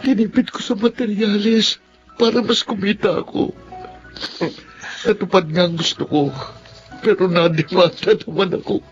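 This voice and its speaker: Burdened Filipino father, remorseful, fairly high pitch, radio drama, muffled lo-fi recording. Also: fairly even intonation, moderate pace, long pauses. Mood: regretful, confessing. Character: remorseful widowed builder, greedy past, burdened father. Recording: dull lo-fi audio with music behind.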